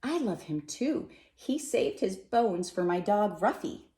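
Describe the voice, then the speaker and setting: Storybook neighbour, acted by a woman: affectionate, concerned, higher-pitched, heard in an echoey room. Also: very animated, slow, dark tone. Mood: fond.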